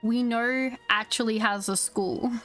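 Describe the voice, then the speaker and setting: A woman's voice: on the high side, takes it slowly, a bit bright, soft background music, rough recording. A woman streaming a video game, chatting casually with her viewers as she plays. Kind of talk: casual live stream commentary.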